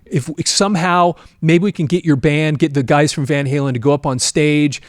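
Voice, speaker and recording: A man's voice: a little high, normal speed, dry recording, studio quality. A man hosting a show and telling a story casually to his audience, acting out bits of dialogue as he goes. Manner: animated retelling, voicing quoted dialogue. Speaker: host and storyteller.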